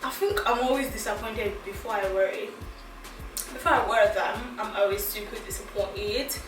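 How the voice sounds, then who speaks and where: A woman's voice: medium pitch, moderate pace. A young Nigerian woman with a Nigerian accent, chatting giggly and casually through a clothing try-on haul, thinking aloud with restarts. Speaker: fashion vlogger. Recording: echoey room, mic at a distance, music behind.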